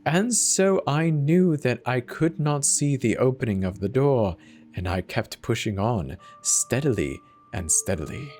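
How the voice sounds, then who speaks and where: Man reading horror slowly, wild pitch swings. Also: expressive. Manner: theatrical, whispery swings from hushed to manic. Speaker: narrator. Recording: faint music behind the voice. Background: faint instrumental music.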